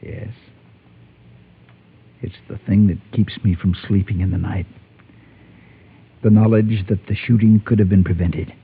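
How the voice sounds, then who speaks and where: Husky, guilt-haunted Western preacher. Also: male voice, fairly low pitch, fairly even intonation. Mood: haunted, confessional. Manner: grave, halting, confessional. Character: haunted former gunfighter turned preacher, guilt-ridden man. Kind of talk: 1950s Western radio drama acting. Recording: muffled, tinny old-time radio recording.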